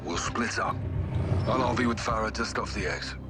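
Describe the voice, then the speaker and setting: An actor playing a gruff, weary British special forces captain in a video game, with clipped military speech and a gravelly voice. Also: male voice, lowish voice, moderate pace, over loud music, close to an everyday voice. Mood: commanding. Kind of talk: dramatic video game cutscene acting.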